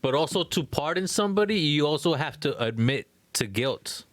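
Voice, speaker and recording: A man's voice: fairly high pitch, animated, moderate pace, dry recording. Casual young man, dry close sound. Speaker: podcast co-host. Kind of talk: casual chat, slangy.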